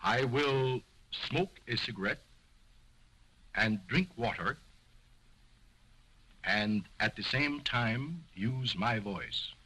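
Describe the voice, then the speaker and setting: An actor playing an arrogant, quick-tempered male ventriloquist in an early talkie film, boastful and scolding. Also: mid-range voice, expressive, bright, forceful tone, a little hiss or hum, low-quality recording. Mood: bossy.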